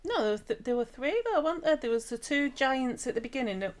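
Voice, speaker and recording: A woman's voice: high voice, expressive, fast. A woman chatting casually as a vlog co-host, a little hesitant and fond of tag questions. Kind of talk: casual chat. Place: hotel room.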